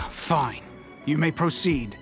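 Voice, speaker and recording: An actor playing a wary, grudging underling guard in a video game. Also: male voice, mid-range voice, animated, extremely slow, gravelly, music in the background, full character voice.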